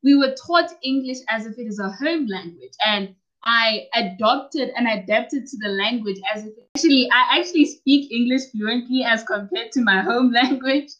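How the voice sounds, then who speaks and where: A young woman from South Africa with a South African accent, a university student chatting casually as an interview guest, relaxed and quick to laugh. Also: on the high side. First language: Northern Sotho and Tsonga. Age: young adult. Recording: muffled lo-fi audio.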